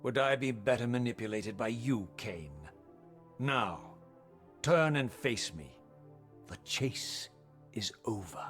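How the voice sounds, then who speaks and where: A man's voice: medium pitch, expressive, takes it slowly, long pauses, a bit bright, faint music behind. A man playing a tormented, vengeful wraith in a video game, speaking in formal, archaic, solemn phrases. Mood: accusing, defiant. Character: tormented, vengeful male wraith, eloquent and grimly resolute. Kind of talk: dramatic scripted video game narration and dialogue.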